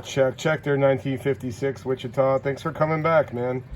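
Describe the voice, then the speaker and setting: A man's voice: medium pitch, steady tone, normal speed. A man working as a CB radio operator, making clipped call-outs and casual, slangy commentary. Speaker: CB radio operator and video host. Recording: heavy static and radio noise. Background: CB radio hiss and static.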